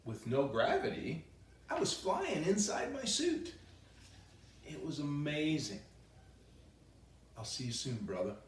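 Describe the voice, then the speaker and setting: A man reading a children's bedtime story aloud in a playful, expressive way with chatty asides. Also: a little high, takes it slowly, long pauses, slight background noise. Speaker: children's author reading his own book. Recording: roomy echo, distant microphone.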